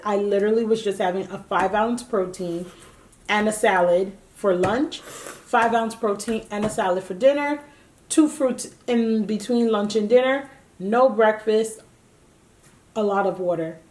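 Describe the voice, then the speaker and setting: A woman's voice: mid-range voice, unhurried, darkish tone. Candid woman in her late thirties. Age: 30s. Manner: candid, emphatic storytelling. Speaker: co-host. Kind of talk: casual chat.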